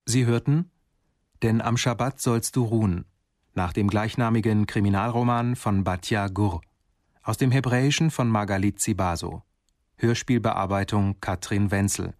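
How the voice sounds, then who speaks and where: A man announcing radio drama credits in a formal, measured, scripted style. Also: fairly low pitch, darkish tone, no echo, clean studio sound.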